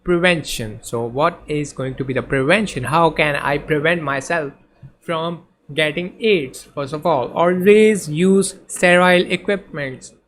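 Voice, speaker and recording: A man's voice: on the high side, measured pace, a bit bright. A man whose first language is Urdu, teaching in a casual lecture over slides, hesitant, with many fillers and restarts. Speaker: tutor.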